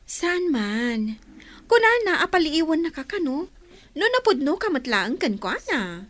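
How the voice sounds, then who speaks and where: A woman playing an eager, teasing younger sister in a Filipino radio serial, insistent and excitable. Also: high-pitched, a little slow, heavily acted. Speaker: radio drama actor.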